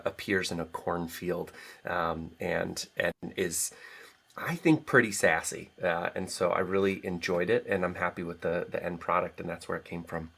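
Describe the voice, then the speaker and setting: A man speaking as a guest author in a casual interview, halting and reflective, often pausing mid-sentence. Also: sits low, vocal fry, fairly bright tone.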